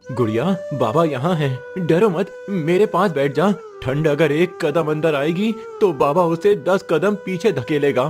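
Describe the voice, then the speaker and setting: A man's voice: on the high side, unhurried, over music, lightly acted. A man playing a poor, protective father in a cartoon story, earnest, solemn and resolute. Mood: protective, reassuring.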